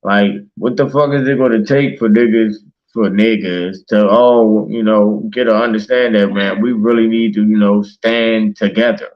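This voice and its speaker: A man's voice: on the low side, fairly even intonation, moderate pace, dull audio. A man in casual, passionate conversation as a talk show host, speaking emphatically with slang and swearing. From Baltimore, United States.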